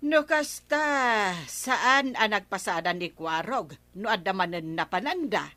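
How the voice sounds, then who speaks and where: A woman's voice: mid-range voice, lively intonation, a little slow, bright, forceful tone, dry recording. An older woman with an Ilocano accent playing an inquisitive, scolding village grandmother in a radio comedy drama, drawing out her questions. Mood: curious.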